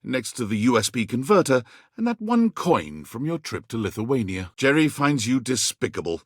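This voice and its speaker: A game narrator with a mid-pitched, slightly husky voice. The storytelling is measured and scripted, rising and falling expressively. The sound is dry and close. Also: male voice, sing-song, no echo. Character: omniscient male narrator of a quirky dating game.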